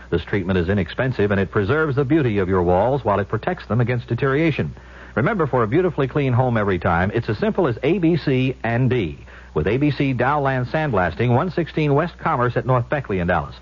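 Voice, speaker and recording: A man's voice: medium pitch, husky. A man working as a commercial announcer, reading a scripted 1970s radio advertisement. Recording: muffled 1970s radio broadcast.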